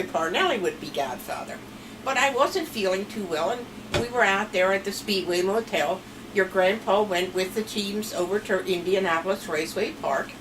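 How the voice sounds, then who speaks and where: A woman in her seventies with a Pennsylvania Dutch accent, chatting and rambling through family stories as a grandmother being interviewed at home. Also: medium pitch. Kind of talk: casual family storytelling. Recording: distant mic with clear background noise.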